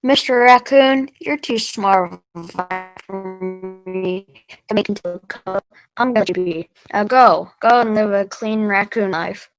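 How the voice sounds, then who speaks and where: A folksy frontier hunter acted by a woman, bold and plain-spoken. The delivery is declamatory, like a children's stage reading, at a middling pitch. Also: unhurried, faint music behind, close to an everyday voice, low-quality recording. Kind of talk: readers theater for children.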